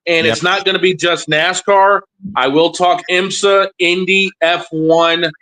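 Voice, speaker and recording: Male broadcast sidekick, high and bright, chatty sports talk, restates phrases to press his point. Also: steady tone, measured pace. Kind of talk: casual sports banter.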